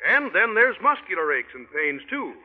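An actor playing an enthusiastic satisfied American customer in a 1940s radio advertisement, with emphatic rehearsed delivery. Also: male voice, high-pitched, sing-song, normal speed. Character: enthusiastic satisfied customer giving a product testimonial. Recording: tinny, noisy, echoey vintage radio.

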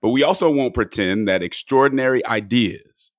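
A man hosting a podcast in relaxed conversational talk, his voice a little hoarse and cracking. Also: mid-range voice, normal speed, dry recording, studio quality. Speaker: podcast host. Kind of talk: casual podcast chat.

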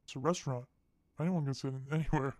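A man's voice: mid-range voice, fast, darkish tone, no echo, clean studio sound, close to an everyday voice. A man voicing a rude, dismissive man in a short comedy skit. He is curt and insulting.

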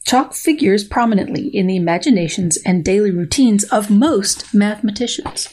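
A woman's voice: mid-range voice, lively intonation, normal speed, mellow, a little hiss or hum. A woman, a biologist guest on a podcast, reading passages aloud and answering with wry humor. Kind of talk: podcast interview with dramatic readings.

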